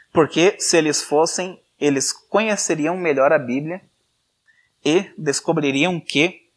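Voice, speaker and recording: Man giving a church talk. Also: fairly high pitch. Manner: frequent "né?" tags, restarts, lecturing. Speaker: church speaker. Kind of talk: sermon teaching.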